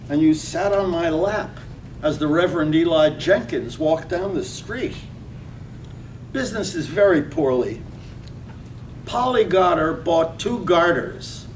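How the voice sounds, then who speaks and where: An actor playing a lovesick, thrifty draper reading out his love letter earnestly in a staged radio drama. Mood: gloomy complaining. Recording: distant mic, faint rumbling noise.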